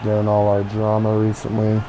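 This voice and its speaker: Man, lowish nasal voice, congested with a cold, casual sweary gaming commentary, hesitant rambling, noisy recording. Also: completely flat delivery, normal speed. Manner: profane, informal, hesitant rambling. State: has a cold. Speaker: gaming video creator.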